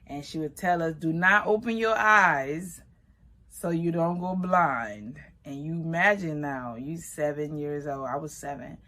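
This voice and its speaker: A middle-aged woman from Liberia telling stories about her grandmother in a relaxed, conversational way. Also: sits low, measured pace. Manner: relaxed, conversational, shifting pace, Liberian English phrasing. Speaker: vegan food business owner. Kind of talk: personal storytelling.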